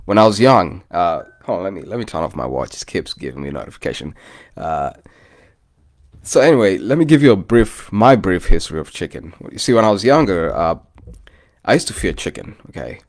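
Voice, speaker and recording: A Kenyan man with a low, deep voice that swings widely up and down as he talks. He rambles in a loose, friendly way and keeps calling his listeners "bro". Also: very animated, a little fast. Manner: loose and chatty, often says "bro", greets live commenters. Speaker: vlogger. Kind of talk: casual rambling chat to viewers.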